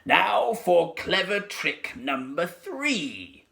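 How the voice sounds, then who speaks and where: A man voicing a sly, ravenous crocodile villain in a storybook, savouring his words slowly and gloatingly. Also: high voice, very animated, creaky, pushed and bright, pitched above the performer's own voice, full character voice. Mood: scheming, gloating.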